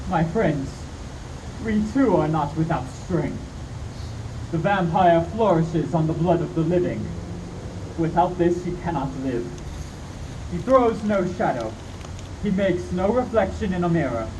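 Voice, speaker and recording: A man playing a grave elderly professor who leads vampire hunters, speaking in deliberate speeches in radio drama style. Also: high-pitched. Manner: declamatory, deliberate speech-making. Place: community theatre live performance. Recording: noisy, distant stage mic.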